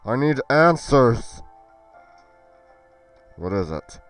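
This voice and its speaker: A man playing a troubled, earnest adventurer hero in a game roleplay drama, musing aloud in a halting, theatrical way. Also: medium pitch, big pitch swings, faint music behind. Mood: uneasy, determined. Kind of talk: dramatic Minecraft roleplay acting.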